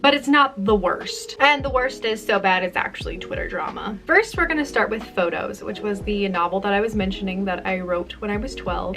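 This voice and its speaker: Chatty, self-deprecating young woman vlogger. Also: mid-range voice. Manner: self-deprecating, jokey, animated asides. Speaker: author and video host. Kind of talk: casual chatty vlog to camera.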